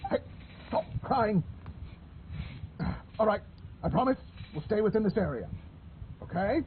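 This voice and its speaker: An actor playing an irritable, proud young inventor villain in a video game, curt and testy. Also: male voice, sits high, animated, measured pace, a little hiss or hum. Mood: impatient. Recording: muffled phone-like sound with music.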